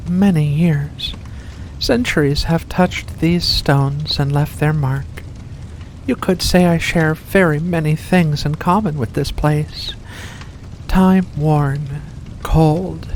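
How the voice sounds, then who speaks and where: An ancient vampire played by a woman in an ASMR roleplay, speaking very slowly in a lowish register. The manner is silky and genteel, all coaxing endearments over a predatory hunger. A soft layer of ambient noise sits behind the voice. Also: rough recording. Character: ancient predatory vampire with furry paws, seductive, faux-kindly. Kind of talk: ASMR roleplay addressed to the listener.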